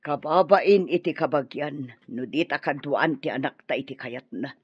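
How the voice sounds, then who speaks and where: A woman's voice: low-pitched, moderate pace, breathy edge, no echo, dull audio. An actress playing a proud, scheming middle-aged mother in a radio drama, haughty and theatrical. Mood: reluctant.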